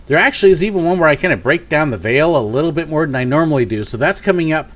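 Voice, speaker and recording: A man's voice: fairly high pitch, expressive, quick pace, a bit bright. A man in his forties, a bit sniffly from a cold, chatting through a live question-and-answer stream from his home office at a desk webcam, upbeat and rambling with lots of fillers. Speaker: YouTube host. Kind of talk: live Q&A and mail call. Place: home office with shelves of collectibles.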